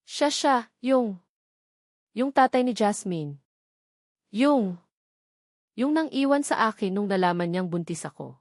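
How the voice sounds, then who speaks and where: A woman voicing a frightened young mother in an audio drama, halting and pleading. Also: mid-range voice, lively intonation, a little mellow, dry recording, clean studio sound. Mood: tearful.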